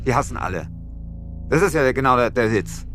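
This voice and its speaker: A man with a middling pitch chats as a podcast co-host. His talk is casual and unscripted. Faint music sits behind the voice. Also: animated, brisk, a bit bright. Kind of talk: casual chat.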